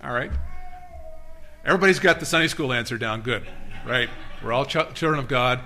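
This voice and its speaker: A man's voice: medium pitch, brisk, sharp tone. A man giving an interactive sermon in a church, talking casually with the congregation, with many restarts and self-deprecating asides. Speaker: guest preacher. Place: church sanctuary.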